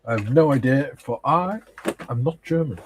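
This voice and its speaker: A man's voice: medium pitch, expressive, moderate pace, background noise. A man from Wales chatting casually on a live modelling stream, wry and dry, reading out viewer comments as he builds.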